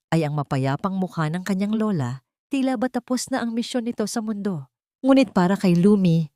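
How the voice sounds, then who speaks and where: A woman narrating a dramatic audio story in a measured, scripted way. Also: on the low side, darkish tone, no room sound, studio quality.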